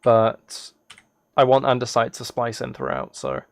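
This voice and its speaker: A man's voice: medium pitch, very animated, a little slow, creaky, dry recording, clean studio sound. A man chatting casually on a livestream as he plays a game, rambling and thinking aloud. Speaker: live streamer. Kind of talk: casual livestream chat while gaming, reading chat.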